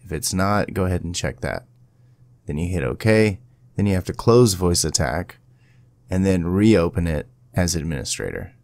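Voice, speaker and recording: Man, deep voice, informal tutorial presenter, step-by-step instruction, dry close-miked sound. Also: animated, normal speed, gravelly, a little mellow, no room sound. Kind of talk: casual step-by-step software tutorial.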